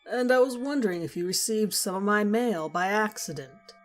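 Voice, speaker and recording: A woman's voice: mid-range voice, lively intonation, moderate pace, close to an everyday voice. Woman putting on a creepy middle-aged male stranger. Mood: casual, probing. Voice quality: smoker's rasp as scripted. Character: thin scruffy creepy mid-fifties man, curt stranger. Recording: music behind the voice.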